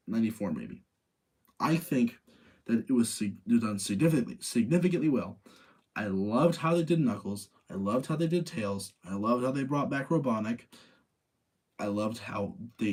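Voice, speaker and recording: Casual, opinionated man with a slightly husky voice. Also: on the low side, animated, normal speed. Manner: conversational, opinionated, informal. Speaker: host of a live ranking show about video game movies. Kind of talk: casual chat, giving opinions and replying to listeners.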